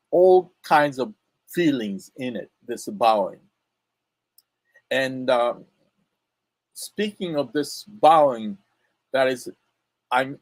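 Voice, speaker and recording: Japanese guy w japanese accent, english coach, talks slow n halting, lots of uhs, dry close mic. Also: medium pitch, no echo, low-quality recording. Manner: halting, many fillers and restarts. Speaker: pronunciation coach. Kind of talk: casual spoken lesson.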